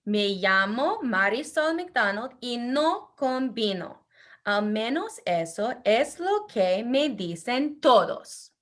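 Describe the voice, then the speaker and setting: A woman co-hosting a children's bilingual read-aloud over a video call, speaking with expressive enthusiasm. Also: fairly high pitch, slow. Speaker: bilingual language teacher and read-aloud co-host.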